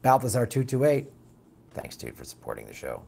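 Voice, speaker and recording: Raspy-voiced blunt man. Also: medium pitch, a little fast. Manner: blunt, animated, mocks opponents with put-on quotes. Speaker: political podcast host reading viewer comments. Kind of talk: casual opinionated commentary.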